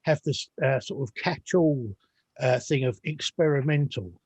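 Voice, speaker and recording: A man's voice: mid-range voice, unhurried, darkish tone, husky, no echo. A man hosting a music podcast, chatting casually, with many restarts and apologetic asides. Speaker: podcast host. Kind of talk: casual interview chat.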